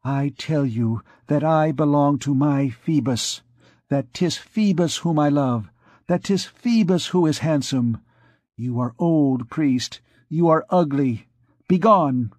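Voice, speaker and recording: A man's voice: takes it slowly, darkish tone, dry recording. A man reading aloud, voicing a defiant young woman who cries out in scorn and fear. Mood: scornful. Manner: scornful, shrill crying out.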